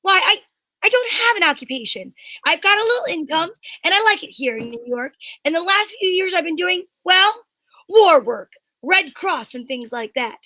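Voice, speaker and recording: A woman's voice: very high voice, lively intonation, moderate pace, pushed and bright, heavily acted, poor audio. A woman playing a defensive, indignant female murder suspect, flustered and exclamatory, in old-time radio mystery style. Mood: evasive.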